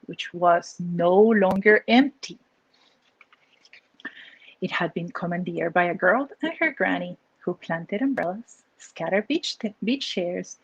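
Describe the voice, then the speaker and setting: A woman from Peru with a Peruvian accent, an author reading her picture book aloud with expressive storytelling and asides. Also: mid-range voice, slightly rough, low-quality recording.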